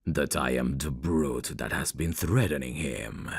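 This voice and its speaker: A man playing a menacing, teasing mafia boss in an ASMR roleplay, speaking in a deliberate, taunting way. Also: a bass voice, husky, no room sound, studio quality, exaggerated voice. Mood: calm, menacing. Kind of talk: ASMR roleplay audio drama.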